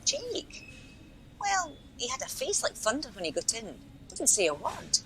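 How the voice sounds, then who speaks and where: A woman's voice: high-pitched, wildly swooping pitch, a little slow, a bit bright, heavily acted. A woman playing a polite, hesitant and rather nosy helpline volunteer in a radio play, with an apologetic manner. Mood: gossipy. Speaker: helpline phone operator.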